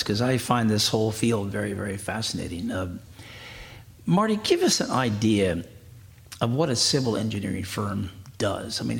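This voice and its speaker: Guy hosting an interview, gravelly voice, chatty, laughs a lot. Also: on the low side. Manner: chatty, laughs easily, asks leading questions. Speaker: radio interview host. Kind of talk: conversational interview.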